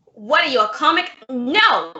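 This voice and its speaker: A woman's voice: sits high, very animated, a little slow, pushed and bright, full character voice. A woman playing a brash, evasive man who stonewalls questions in a student radio drama. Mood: defiant. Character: evasive, defiant male lab owner. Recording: echoey, dull lo-fi room recording.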